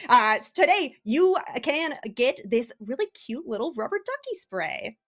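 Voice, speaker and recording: A woman's voice: high voice, big pitch swings, a little slow. A woman presenting a live esports broadcast casually, upbeat and chatty as she addresses the viewers. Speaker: esports broadcast host and caster.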